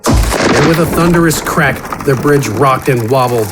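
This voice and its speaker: A man's voice: medium pitch, unhurried, heavy background noise. A man reading scripted fantasy adventure narration in a dramatic storytelling style. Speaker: narrator and voice actor. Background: music.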